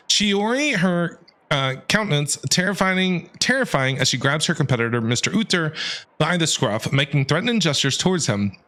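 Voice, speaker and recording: A man with a slightly high, lively voice streams a game. He reads the story text aloud dramatically and breaks off into casual, slangy commentary. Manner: expressive, dramatic reading with casual slangy asides.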